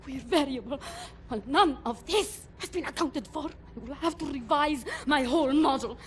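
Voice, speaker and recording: Brisk female analyst played by an actress, high voice, precise clipped delivery, calculating, video game drama. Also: close to an everyday voice. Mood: flustered, dry. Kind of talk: cinematic video game acting.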